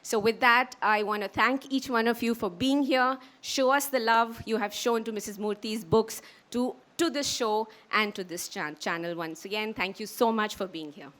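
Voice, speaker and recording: A woman's voice: on the high side, moderate pace, hardly any pauses, a bit bright. A woman with an Indian accent giving a public speech as she presents a launch.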